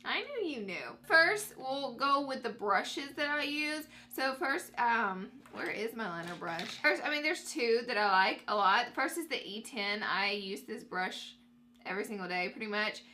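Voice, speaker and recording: A woman's voice: a little high, lively intonation, a little slow, bright, forceful tone, a little hiss or hum. A young woman with a stuffy nose from allergies, chatting upbeat through a makeup tutorial for her viewers. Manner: upbeat, addresses viewers as guys. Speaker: beauty vlogger.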